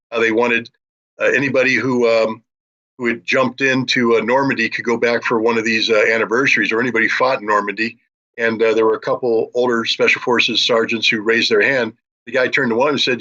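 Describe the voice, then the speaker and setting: Forceful American man, slightly muffled audio. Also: medium pitch. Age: middle-aged or older. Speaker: interviewer and military commentator. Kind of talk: discursive geopolitical discussion.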